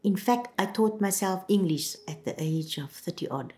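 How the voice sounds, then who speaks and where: Low-voiced woman. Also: normal speed, darkish tone. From South Africa. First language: Afrikaans. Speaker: former anti-apartheid activist. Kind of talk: documentary interview.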